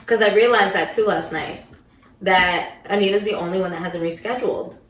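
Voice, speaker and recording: A woman's voice: medium pitch, moderate pace, fairly bright tone. A woman in her thirties co-hosting a podcast in casual, joking chat. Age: 30s. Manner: playful, jokey, bursts into silly Spanish greetings. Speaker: podcast co-host. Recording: roomy, distant mic, faint noise.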